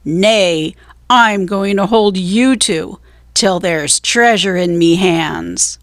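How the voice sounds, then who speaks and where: A woman voicing a gruff, bossy male pirate captain who growls and roars his orders in pirate talk. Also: fairly low pitch, takes it slowly, no echo, clean studio sound.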